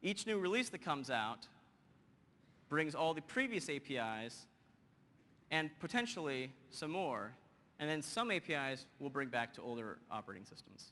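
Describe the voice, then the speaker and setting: A man giving an energetic technical presentation with a live demo to a conference audience, often tossing in "you know" and "right?" and teasing the crowd. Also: a little high, moderate pace. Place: large conference hall. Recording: long hall reverb, faint background hum.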